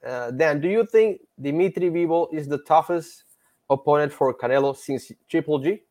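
A man's voice: a little high, mellow. A man co-hosting a boxing interview, summarizing the guest's answers and asking questions in two languages. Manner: summarizing, brisk. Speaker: co-host. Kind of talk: bilingual interview, translating.

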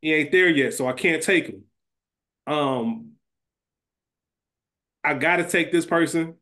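A man's voice: a little high, slow, pushed and bright. A man co-hosting a podcast in casual, profane banter, thinking aloud and repeating himself as he weighs his choices. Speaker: podcast co-host. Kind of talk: casual sports-draft style banter about a TV series.

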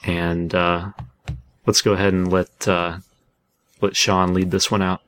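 A man hosting a casual podcast in a halting, self-deprecating way. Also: low voice, level tone, fairly bright tone. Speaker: podcast host.

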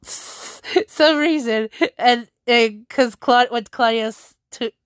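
Slow, chatty woman with a higher voice. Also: clean tone, no room sound, studio quality. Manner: rambling, chatty, self-correcting. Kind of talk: casual chat to viewers.

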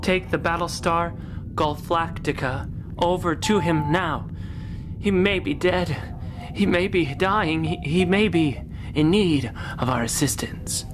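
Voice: mid-range voice, slow pace, lightly acted. Performer playing an overwrought space captain over music. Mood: pleading, pompous. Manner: overwrought, repetitive. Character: melodramatic, self-important space mission captain, pleading and urgent. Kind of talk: improvised parody radio drama.

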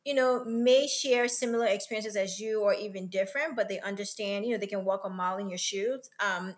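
A woman hosting an interview podcast, asking long winding questions and filling with "you know" and "mm-hmm.". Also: medium pitch. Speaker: podcast host.

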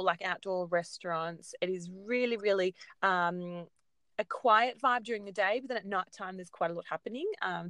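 A woman's voice: on the low side, smooth, no room sound. A woman in her thirties with an Australian accent, chatting casually and telling stories with lots of ums. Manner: chatty, animated storytelling with frequent ums. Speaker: guest. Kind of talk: casual chat.

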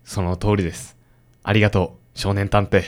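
A man's voice: fairly low pitch, little pitch movement, normal speed, close to an everyday voice. A man playing an awkward, apologetic father in a radio drama, speaking hesitantly and politely. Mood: grateful.

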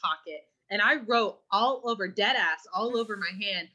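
A young woman from California, a podcast co-host, chatting casually and slangily while she keeps clearing her throat. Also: medium pitch, moderate pace, sharp tone. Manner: chatty, slangy, swears freely. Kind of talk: casual chat. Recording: muffled lo-fi recording.

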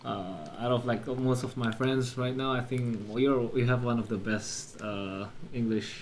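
A laid-back young male host from China chats in an easy, middle-pitched voice, full of fillers and friendly encouragement. A faint hiss sits under the recording. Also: steady tone, a little slow. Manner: laid-back, filler-heavy, chuckles often. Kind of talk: casual video chat.

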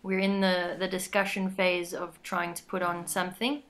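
A woman singer in a band with a high voice, talking quickly and eagerly in a casual interview. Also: little pitch movement, a bit bright.

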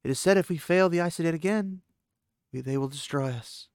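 A man's voice: a little high, a little fast, clean tone, no echo, studio quality, voice pushed up, close to an everyday voice. A man voicing a proud, formal young female desert warrior with solemn, stiffly formal speech.

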